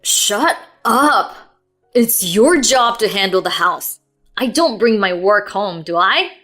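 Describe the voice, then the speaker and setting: A woman's voice: medium pitch, lively intonation, takes it slowly, a bit bright, faint music behind. A woman voicing an irritated, domineering husband who barks harsh orders. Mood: yelling.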